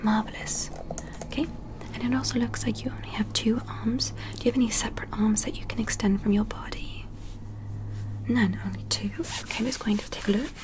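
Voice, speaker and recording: Alien examiner for sci-fi ASMR roleplay, female performer: whispered, breathy, higher-pitched, gentle and clinical, politely inquisitive about humans. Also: fairly even intonation, fairly quick, lightly acted. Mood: curious, clinical.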